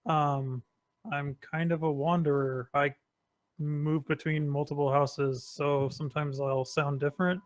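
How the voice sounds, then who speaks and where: Man from northern california, laid-back, hesitant, slightly high voice. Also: little pitch movement, a little slow. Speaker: player.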